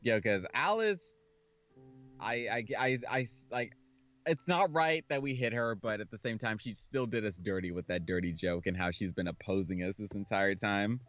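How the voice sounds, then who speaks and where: A man's voice: lowish voice, normal speed, fairly bright tone, no echo, lo-fi sound, faint music behind. A man hosting a game playthrough, reading visual novel narration aloud and chatting casually about it.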